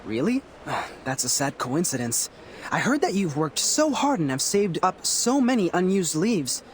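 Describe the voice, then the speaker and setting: Animated, theatrical young man. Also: sits high, measured pace. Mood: playful, teasing. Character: chatty, theatrical young man, eager travel-loving colleague. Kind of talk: video game voice acting.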